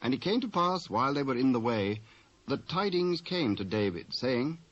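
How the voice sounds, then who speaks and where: Husky British male Bible narrator. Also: mid-range voice, very animated, moderate pace, a bit bright. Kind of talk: scripted dramatic Bible narration. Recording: dull old cassette transfer.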